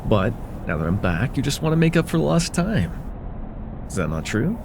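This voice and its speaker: A man acts out a young male student with a crush, affectionate and teasing in a slice-of-life ASMR roleplay. His delivery swings expressively in pitch and has a creaky edge, with casual, hesitant phrasing over faint background noise. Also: very animated, moderate pace. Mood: teasing, welcoming. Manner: casual, soft-hearted, hesitant, chuckling banter. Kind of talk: slice-of-life ASMR roleplay, intimate one-sided dialogue.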